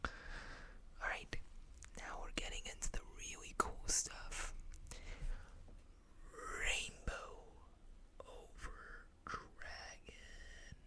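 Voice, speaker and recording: Slow, whispering ASMR host. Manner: soft unhurried whispering, reading card text with casual asides. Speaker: host of a card-collecting ASMR video. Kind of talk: whispered ASMR card showcase.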